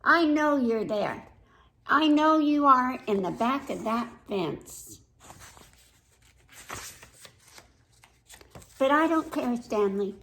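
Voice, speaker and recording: Woman playing a stubborn little girl, slow and emphatic. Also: high voice, animated, fairly bright tone, a little hiss or hum, exaggerated voice. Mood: defiant. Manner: emphatic, defiant, drawn-out words.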